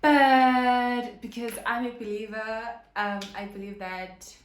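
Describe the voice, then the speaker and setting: A woman's voice: a little high, level tone, slow. A young woman vlogging in casual chat to camera, earnest and rambling with lots of fillers. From South Africa, KwaZulu-Natal. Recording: roomy echo, faint background hum.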